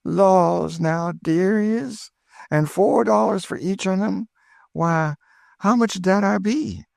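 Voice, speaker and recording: A man's voice: high voice, slow pace, creaky, a little mellow, no echo, clean studio sound, pitched above the performer's own voice, heavily acted. A man voicing an eager, chatty older woman cook with an in a storybook reading. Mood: delighted. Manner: exclaiming, folksy, eager.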